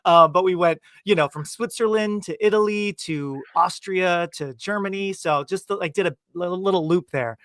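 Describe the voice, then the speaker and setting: A man's voice: high-pitched, normal speed, hardly any pauses, fairly bright tone, dry recording, studio quality. A man from New Jersey with an American accent hosts a podcast in a chatty, enthusiastic way, peppering casual talk with "like". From New Jersey, United States. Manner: chatty, enthusiastic, uses "like" often. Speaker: podcast host. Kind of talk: casual chat.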